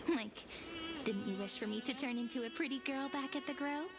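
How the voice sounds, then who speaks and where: A woman voicing a cute, playful and gently nostalgic girl in a video game, with music playing behind her. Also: high-pitched, a little fast. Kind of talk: video game character dialogue. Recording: prominent music under the voice.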